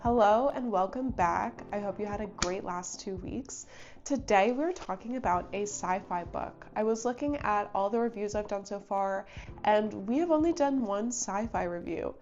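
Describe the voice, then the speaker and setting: Young female book reviewer, stuffy with a cold, keen and chatty, some vocal fry, dull lo-fi sound. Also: mid-range voice, animated, moderate pace, a little mellow. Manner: chatty, enthusiastic, thinks aloud. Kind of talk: casual book review talk.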